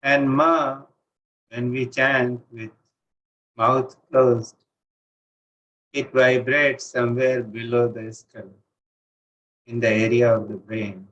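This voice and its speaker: A man with an Indian accent teaches meditation in a medium-pitched voice. He gives calm, deliberate instructions and leaves space between phrases. The recording is dull and low in fidelity, like online session audio. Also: a little hiss or hum.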